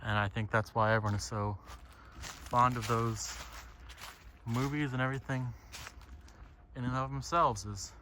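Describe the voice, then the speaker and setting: A man thinking aloud in a halting, casual, reflective monologue while walking outside on a trail. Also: mid-range voice, steady tone, bright, forceful tone. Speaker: solo vlogger. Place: outdoors, walking on a trail by a lake. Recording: outdoor ambient noise.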